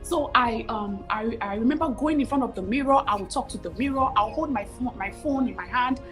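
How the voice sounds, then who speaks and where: A woman in her thirties with a Nigerian accent, telling her story as a guest over a video call, expressive and repeating phrases for emphasis. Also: on the high side, fairly quick, faint music behind. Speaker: guest, cooking show host. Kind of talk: animated storytelling interview. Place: home room with curtains, video call.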